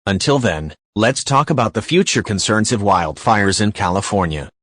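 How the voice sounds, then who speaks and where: A man acting as a local news anchor delivers a scripted report with composure. His voice sits a little low and is recorded very dry. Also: lively intonation, moderate pace, a bit bright, no room sound. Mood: composed, serious.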